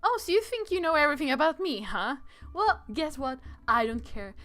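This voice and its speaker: A woman's voice: sits high, lively intonation, fairly quick, fairly bright tone, faint music behind. A woman voicing a proud, hot-tempered young warrior princess in a visual novel game, indignant and dramatic. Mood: defiant.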